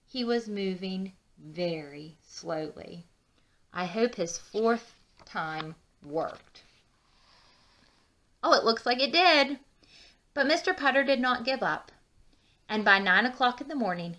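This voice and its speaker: A woman reading a children's storybook aloud with expressive, drawn-out emphasis and chatty asides. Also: mid-range voice. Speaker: children's book read-aloud narrator.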